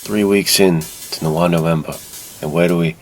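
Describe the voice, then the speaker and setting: A man's voice: low-pitched, steady tone, moderate pace, soft background music. A man co-hosting a podcast, giving emphatic opinions in casual, informal conversation. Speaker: podcast co-host. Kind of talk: casual film discussion.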